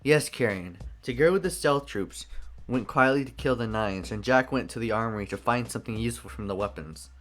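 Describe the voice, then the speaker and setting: A man's voice: mid-range voice, a little fast, fairly bright tone, soft background music, close to an everyday voice. A man voicing a loyal male soldier reporting to his captain in the middle of a fight. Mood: earnest.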